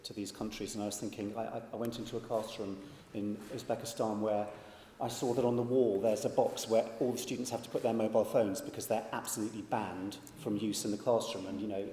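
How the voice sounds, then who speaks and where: Low, warm-voiced man on a panel. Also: level tone, quick pace, few pauses, slight background noise. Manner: reflective, repeating words as he starts. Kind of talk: panel discussion answer. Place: large conference hall. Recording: echoey hall.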